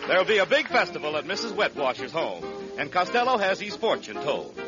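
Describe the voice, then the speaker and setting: A man's voice: high voice, big pitch swings, fairly quick, music in the background. A man reading scripted announcements in the formal style of a 1940s radio announcer. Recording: dull old radio broadcast recording.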